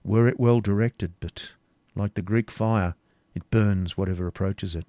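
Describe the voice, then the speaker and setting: A man's voice: lowish voice, measured pace, dark tone, no room sound, lightly acted. A man doing a scheming, commanding knight.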